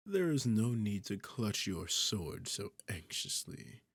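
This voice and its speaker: A man voicing an arrogant, seductive demon king in an ASMR roleplay, taunting the listener in a condescending, theatrical manner with a husky, gravelly voice. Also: on the low side, very animated, no room sound, full character voice. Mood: smug, coaxing. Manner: taunting, condescending, theatrical, slow deliberate phrasing.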